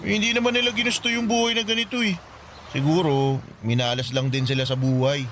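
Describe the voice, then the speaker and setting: A man's voice: on the high side, fairly even intonation, quick pace, a bit bright, soft background music, close to an everyday voice. An actor playing a mild, weary, earnest young man in a Filipino radio drama. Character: weary, mild-tempered young man, caring poor friend.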